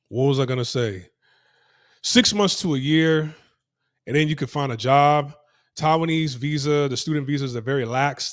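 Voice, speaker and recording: A man's voice: mid-range voice, no room sound, clean studio sound. A man talking casually to his viewers while moving through city streets, rambling with many restarts and repeated phrases. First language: English. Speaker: vlogger. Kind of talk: casual walking vlog, talking to camera.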